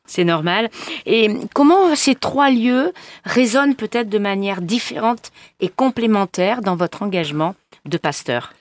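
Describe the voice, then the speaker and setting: Female radio interviewer, mid-range pitch, dry recording, presenting and asking questions. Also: lively intonation, a little slow, no room sound. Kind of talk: radio interview, addressing guest and listeners.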